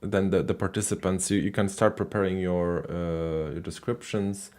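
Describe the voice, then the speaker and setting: Very deep-voiced man hosting a live stream. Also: level tone, darkish tone. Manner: halting, many fillers and restarts. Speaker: host of live language call-in show.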